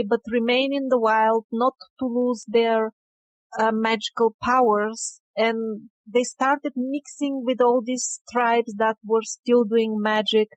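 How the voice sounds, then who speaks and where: A woman with a fairly high voice talks as a guest in an interview. She goes very slowly and conversationally, feeling for her words. The recording is dry and close, with little room sound. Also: no echo, poor audio.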